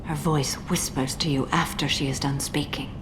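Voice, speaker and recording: An actress playing a solemn, composed alien warrior woman in a video game, speaking formally and gravely. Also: deep voice, fairly even intonation, moderate pace, lightly acted. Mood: solemn warning. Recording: clearly noisy game ambience.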